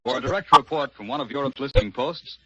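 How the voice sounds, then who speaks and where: A man's voice: mid-range voice, fast talker, very noisy. An American man announcing scripted 1940s radio news in a formal manner. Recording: muffled 1940s radio broadcast.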